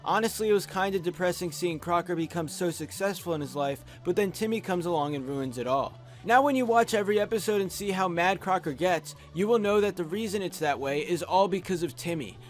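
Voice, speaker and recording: A man's voice: high-pitched, a little fast, hardly any pauses, faint music behind. A young adult man giving scripted top-five countdown commentary as a video host, speaking into a close microphone. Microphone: close USB studio microphone.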